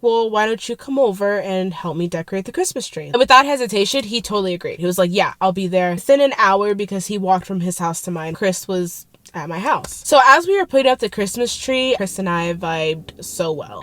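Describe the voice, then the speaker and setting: A woman's voice: medium pitch, dry recording. A young woman with a congested, nasal voice, telling a personal story in a casual, profane vlog. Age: young adult. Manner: casual, profane, quick bursts. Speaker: vlogger.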